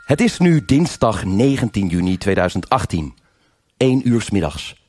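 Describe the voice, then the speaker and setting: A man's voice: fairly low pitch, sing-song, moderate pace, darkish tone, slight background noise. A man playing the narrator of a live noir radio crime drama, with music behind him. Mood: measured storytelling. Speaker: narrator and investigating officer in a radio crime serial. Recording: live performance with music underneath.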